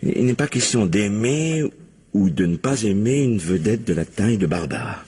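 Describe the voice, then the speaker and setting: Very husky, hard-nosed film producer. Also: male voice, on the low side, animated, moderate pace, a little mellow. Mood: cool, dismissive. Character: hard-nosed, money-minded male Hollywood film producer. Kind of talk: French radio crime drama acting.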